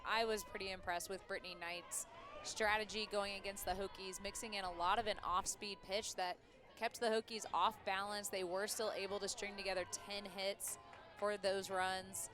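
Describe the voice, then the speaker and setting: A woman working as a color commentator, giving live softball analysis in a conversational, explanatory way. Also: mid-range voice, steady tone, normal speed. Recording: music and crowd behind, outdoors. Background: music, ballpark crowd.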